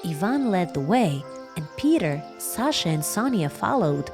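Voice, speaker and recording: A woman narrating a children's bedtime story, telling it warmly and addressing the listeners directly. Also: lowish voice, very animated, a little slow. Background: music.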